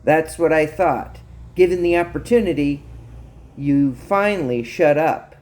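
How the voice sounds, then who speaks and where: A man's voice: a little high, big pitch swings, takes it slowly, mellow, pitched above the performer's own voice. A man voicing a stern female military major in a story, scolding, commanding and smug. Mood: smug, assured.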